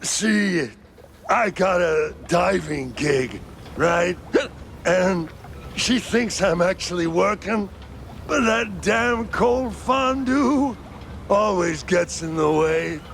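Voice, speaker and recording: Miserable, slow, gravelly cartoon sad sack. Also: male voice, high voice, a bit bright, slight background noise, exaggerated voice. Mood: sheepish, pleading. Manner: hesitant, maudlin, trailing off. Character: self-loathing drunkard diver husband, miserable and pleading. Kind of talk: cartoon game voice acting.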